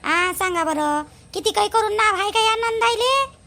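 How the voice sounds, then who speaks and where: A woman's voice: extremely high pitch, expressive, normal speed, bright, forceful tone, a little hiss or hum, heavily acted. A woman voicing a chatty, aggrieved village housewife in a cartoon, speaking with a Varhadi Marathi accent from Vidarbha in a plaintive, rambling way. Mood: indignant. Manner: gossipy, plaintive, repetitive rambling. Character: chatty, aggrieved village housewife complaining about her sister-in-law. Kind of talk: amateur cartoon dialogue in village dialect.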